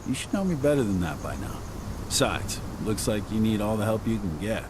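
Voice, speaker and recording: A man's voice: fairly low pitch, big pitch swings, brisk, noisy background. A man playing a loyal, wry friend in a video game, talking in a casual way. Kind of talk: video game cutscene acting.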